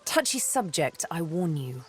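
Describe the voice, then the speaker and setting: A woman's voice: sits low, very animated, a little slow, husky, full character voice, poor audio. A woman voicing a sardonic, vengeful elf huntress for a video game, with arch, teasing, theatrical phrasing. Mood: wry, guarded. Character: sardonic, vengeful female elf, former slave turned huntress. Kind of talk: video game character dialogue. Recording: background music under the voice.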